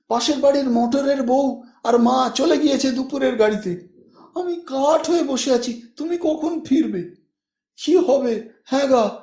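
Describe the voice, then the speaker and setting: A man voicing a panicky, nagging housewife with hurried, fretful questioning in an audiobook story. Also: very high-pitched, a little mellow, voice pushed up, exaggerated voice. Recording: echoey room.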